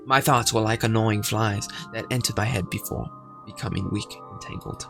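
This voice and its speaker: Gravelly-voiced man reading a game aloud. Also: on the low side, moderate pace, over music. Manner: reads game text aloud, rambling with fillers. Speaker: gaming YouTuber. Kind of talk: game playthrough narration and chat.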